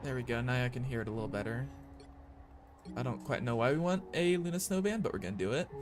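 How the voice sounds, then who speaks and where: A young man with a drawl keeps his voice low and soothing as he plays a game late at night. He speaks at an ordinary middle pitch into a desk mic at home. Game music plays clearly behind him. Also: quick pace. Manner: soft, calm, hushed talk. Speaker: streamer. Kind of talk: quiet casual gameplay chat.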